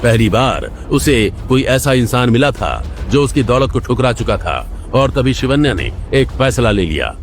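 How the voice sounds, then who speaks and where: A man's voice: medium pitch, very animated, normal speed, few pauses, soft background music. A man narrating an audio drama in a dramatic, scripted storytelling style.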